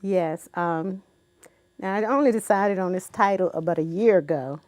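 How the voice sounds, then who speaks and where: A woman in her seventies from Virginia, a guest in an interview, speaking in an unhurried, reflective way with many ums and uhs. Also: lowish voice, a little mellow, no room sound. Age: 70s. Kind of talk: recorded interview.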